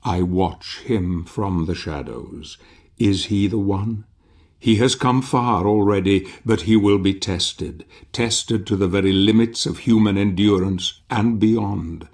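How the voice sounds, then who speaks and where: A man playing a grave, solemn narrator of a dark fantasy video game, speaking in a deliberate, portentous way. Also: on the low side. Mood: ominous, solemn. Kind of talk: dramatic scripted game narration.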